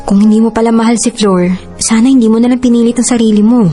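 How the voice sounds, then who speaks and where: A woman's voice: a little high, little pitch movement, quick pace, mellow, natural voice. A woman with a Filipino accent playing a protective, forgiving elder sister in a radio drama, earnest and admonishing. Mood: concerned. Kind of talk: Tagalog radio drama acting. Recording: music bed under voice.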